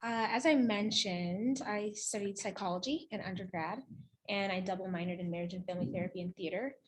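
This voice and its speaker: A young woman from Uganda, speaking on a panel in a lively way and laughing often. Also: mid-range voice, fairly quick, a little hiss or hum, poor audio. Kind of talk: casual panel talk.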